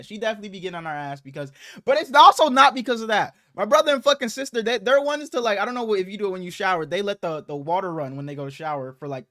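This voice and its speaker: A man's voice: sits high, fairly bright tone. A man hosting a casual sports podcast, slightly congested, talking in loose, profane slang. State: slightly congested, under the weather.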